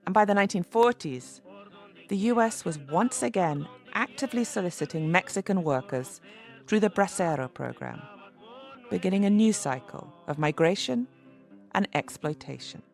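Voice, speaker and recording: A woman delivering scripted documentary narration in a measured, expressive way. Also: lowish voice, creaky, soft background music.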